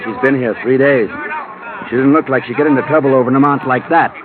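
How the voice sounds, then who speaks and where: An actor playing a worldly, talkative casino cashier in a 1950s radio drama, explaining things in folksy slang. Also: male voice, mid-range voice, fairly even intonation, fast talker, crowd noise, natural voice. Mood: easygoing, explanatory. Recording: noisy, tinny vintage radio recording.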